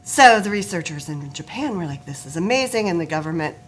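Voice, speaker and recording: Earnest low-voiced woman explaining. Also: expressive, fairly quick, slight background noise. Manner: earnest, informative, laughs easily, some restarts. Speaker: forest therapist guest. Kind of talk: explanatory talk show interview.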